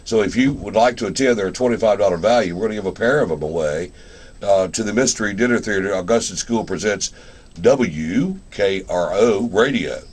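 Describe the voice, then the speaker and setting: A man's voice: on the low side, animated, a little fast, slightly rough. A man hosting a casual live radio interview in an informal, rambling, conversational way. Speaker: radio show host.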